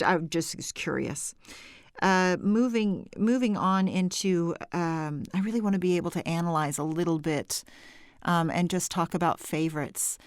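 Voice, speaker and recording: A woman from California hosting an interview, chatty and often laughing, with some vocal fry. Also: low voice, no echo, studio quality. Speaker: podcast host. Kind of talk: conversational interview.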